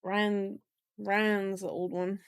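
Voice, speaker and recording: A woman's voice: medium pitch, flat delivery, very slow, smooth, no echo, clean studio sound. A woman streamer reading a novel aloud in a casual ASMR style, playful and chatty, stumbling over the odd word. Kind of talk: casual ASMR read-aloud with asides and acted dialogue. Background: music behind the voice.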